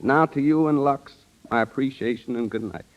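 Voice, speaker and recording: A man delivers prepared interview answers on vintage radio. His manner is formal and measured, his pitch average, and the recording is dull and lo-fi. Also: heavy background noise. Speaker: studio wardrobe director, radio guest. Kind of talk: scripted 1930s radio interview. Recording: dull old 1930s radio broadcast.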